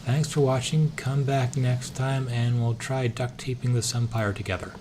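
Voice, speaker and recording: A hoarse man casually thinking aloud, with many pauses and filler sounds, as he narrates his moves in a strategy game. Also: medium pitch, fairly even intonation, background noise. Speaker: let's-play gamer.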